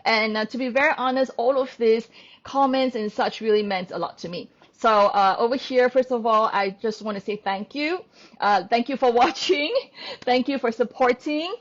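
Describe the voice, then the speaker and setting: A woman's voice: fairly high pitch, moderate pace, highs cut off. A woman hosting a casual livestream, rambling along with plenty of fillers and restarts. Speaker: livestream host. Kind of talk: casual live-stream chat.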